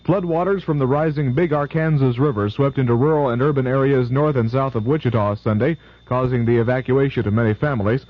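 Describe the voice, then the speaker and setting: Local male radio newsreader, steady and plain, heard through a muffled 1970s AM broadcast. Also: mid-range voice, brisk, few pauses. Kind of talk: newscast reading.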